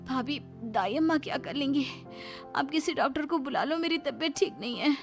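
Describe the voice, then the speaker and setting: A woman's voice: sits high, normal speed, music in the background, voice pushed up. A woman voicing a distressed, defiant young pregnant daughter-in-law in a cartoon story, pleading and arguing. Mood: anxious pleading. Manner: pleading, argumentative. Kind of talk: animated moral-story acting.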